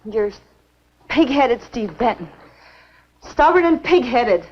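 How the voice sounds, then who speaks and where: High, animated, indignant American woman. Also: slow pace. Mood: exasperated. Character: indignant, strong-willed young woman in a horror film. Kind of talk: 1950s film acting. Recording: muffled 1950s film soundtrack.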